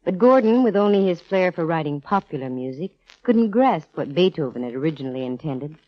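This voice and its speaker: An American actress playing a sharp female private eye in 1940s radio drama, explaining things with dramatic, measured pauses. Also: deep voice, big pitch swings, no echo. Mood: explanatory, brisk. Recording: dull vintage radio recording with music.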